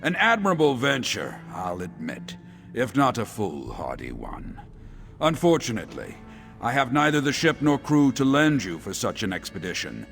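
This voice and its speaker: A man's voice: mid-range voice, very animated, moderate pace, gravelly, fairly bright tone, soft background music. A man playing a stern, haughty middle-aged general in a video game, with a sardonic, pompous delivery. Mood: stern, dismissive. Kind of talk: video game character dialogue. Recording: echoey hall reverb.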